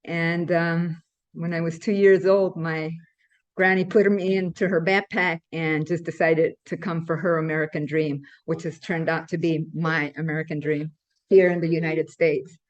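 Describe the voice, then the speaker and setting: A woman's voice: deep voice, flat delivery, normal speed. A woman from Ecuador, a team member speaking casually and a little hesitantly on a video call. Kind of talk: casual introduction. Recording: dull lo-fi video call audio.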